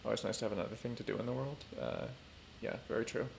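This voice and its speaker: A man chatting casually on a gaming stream, thinking aloud in a halting, rambling way with dry, self-deprecating humour. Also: lowish voice, big pitch swings, gravelly, slight background noise. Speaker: livestreamer playing a building game.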